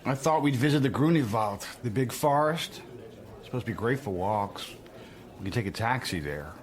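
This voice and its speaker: An actor playing a composed, indulgent older male companion in a radio drama, with a measured, reassuring manner. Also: medium pitch, expressive, natural voice.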